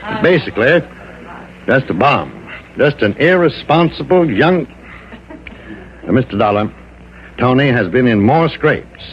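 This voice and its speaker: A man's voice: medium pitch, lively intonation, a little slow, long pauses, a little hiss or hum, lightly acted. An American man playing a cautious, fussy insurance executive in an old-time radio drama, precise and formal but often hesitant and hedging. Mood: guarded. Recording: dull vintage radio recording.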